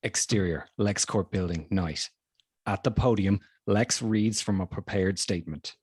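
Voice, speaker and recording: A man's voice: low voice, a little slow, darkish tone, breathy edge, dry recording. A man narrating the stage directions of a screenplay at a table read.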